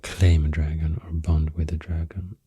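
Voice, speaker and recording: A man with a Swedish accent, rambling softly and hesitantly in soothing ASMR, breathy and often near a whisper, close to the mic. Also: very deep voice, flat delivery, normal speed, no room sound. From Sweden. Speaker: ASMR creator. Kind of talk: soft-spoken ASMR rambling. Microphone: close microphone in a dry space.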